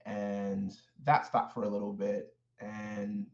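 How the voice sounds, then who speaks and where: A man born in Haiti, a guest in an interview, telling his life story in a measured, thoughtful way with frequent fillers and restarts. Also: lowish voice, level tone, darkish tone, echoey room, lo-fi sound. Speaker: guest, clinical social worker. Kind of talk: reflective personal storytelling.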